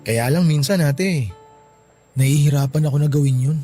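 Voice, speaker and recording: A man plays a devoted young boyfriend in a radio-style drama. His voice sits a little high, earnest and emotive, with frustration under the affection. Faint music runs behind him. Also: moderate pace, mellow, natural voice. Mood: troubled, earnest.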